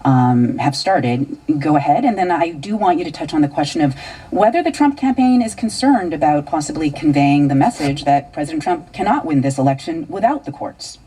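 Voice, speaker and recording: A woman's voice: low-pitched, animated, normal speed, warm tone, husky. An American woman anchoring live radio news, introducing guests and putting questions to them. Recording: distant car radio picked up by camera.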